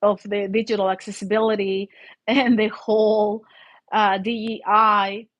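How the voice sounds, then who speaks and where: A woman with a Mexican accent speaks English as a native Spanish speaker, at a middling pitch. She hosts a solo vlog in an informal, conversational style. Her delivery rambles, with little checks for agreement along the way. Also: little pitch movement, unhurried.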